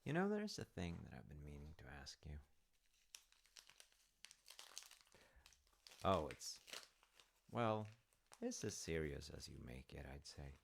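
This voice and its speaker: A very low, gravelly dad acted by a man in a cozy audio roleplay. He is middle-aged, gentle and a little fussy, musing aloud with hesitant, wry asides. His intonation moves around a lot as he talks. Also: big pitch swings, normal speed, a little hiss or hum, exaggerated voice. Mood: curious, caring. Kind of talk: intimate audio roleplay, improvised-sounding chat.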